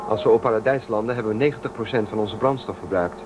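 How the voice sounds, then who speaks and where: A man's voice: lowish voice, fast talker, lightly acted. An actor playing a cautious, matter-of-fact male colonist in a radio drama. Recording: dull, hissy old recording.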